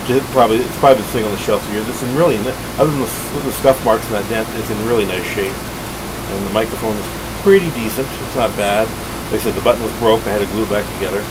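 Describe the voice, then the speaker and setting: A man giving a casual hands-on gadget overview as a hobbyist host, plain-spoken, rambling and halting. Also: lowish voice. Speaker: radio collector and video host. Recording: steady hiss and hum behind voice.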